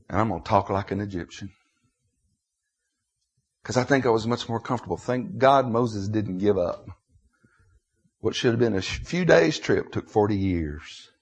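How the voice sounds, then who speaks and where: An American man in his fifties with a husky voice, preaching an emotional sermon, dramatically swinging between hushed confession and loud cries. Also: on the low side, measured pace, pauses often. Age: 50s.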